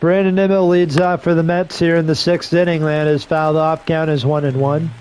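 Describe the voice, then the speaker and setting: A slightly hoarse man on a headset mic giving casual play-by-play commentary, with relaxed, rambling asides between calls. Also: fairly high pitch, brisk, slight background noise, rough recording. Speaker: streamer doing play-by-play.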